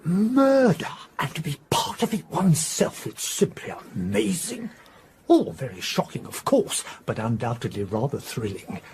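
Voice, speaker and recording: An actor playing an excitable, scatterbrained mystery writer with an English accent, effusive and rambling, in a radio drama. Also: male voice, medium pitch, normal speed, a little hiss or hum. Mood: thrilled, gushing.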